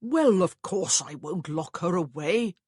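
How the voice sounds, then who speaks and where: A woman's voice: lowish voice, animated, unhurried, darkish tone, breathy edge, dry recording, clean studio sound. A woman voicing a fussy, anxious upper-class brother in an audiobook, with an earnest, blustering manner. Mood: firm, indulgent.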